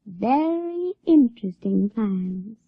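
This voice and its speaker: A woman's voice: on the high side, sing-song, slow, husky, no room sound, exaggerated voice. A woman acting in a radio drama as a naive, spirited young country woman, with folksy rural speech. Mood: coy, knowing. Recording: dry, dull radio-drama recording.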